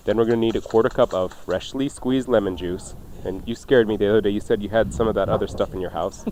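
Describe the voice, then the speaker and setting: A man's voice: fairly low pitch, fairly even intonation, fast talker, a little mellow, slight background noise. A man hosting a radio cooking show in a casual, jokey, chatty style. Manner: jokey, informal banter.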